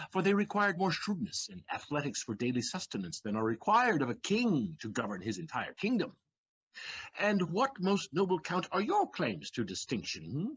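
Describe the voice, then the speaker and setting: A man playing an animated, sardonic, swaggering servant. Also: on the high side, breathy edge, muffled recording, heavily acted. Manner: declamatory, mocking, dramatic emphasis. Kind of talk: theatrical stage acting in an audio play.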